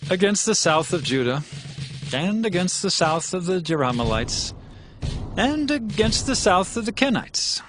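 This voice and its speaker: A man playing a wary, resolute fugitive warrior in a dramatized scripture recording, declaiming in a brooding, deliberate way. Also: high voice, very animated. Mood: firm report. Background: music.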